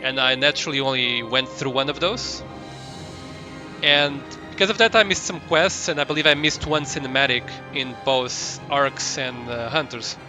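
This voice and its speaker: A man's voice: mid-range voice, moderate pace, over music. A man doing casual live commentary as a game streamer, rambling and hesitant as he searches for his words.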